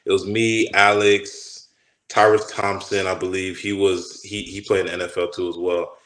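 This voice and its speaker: A man from Texas with a low voice tells stories about his football days in a relaxed, rambling way, circling back and restarting his sentences. His voice carries the echo of the room he is in. He sounds like a former player who is a guest on an interview. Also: normal speed. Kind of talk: casual interview talk.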